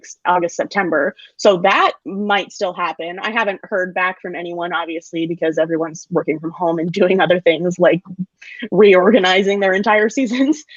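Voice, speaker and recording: A woman's voice: fairly low pitch, no room sound. Hesitant young woman, slightly dull dry audio. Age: 20s. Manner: hesitant, thinking aloud. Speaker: actor, graduating theater student. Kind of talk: casual chat.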